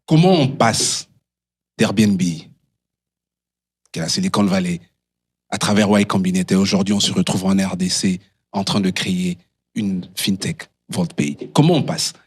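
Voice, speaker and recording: A man with a deep, husky voice hosts a talk show. He puts questions to his guest in a casual, conversational style. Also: unhurried, pauses often, darkish tone. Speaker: podcast host, interviewer.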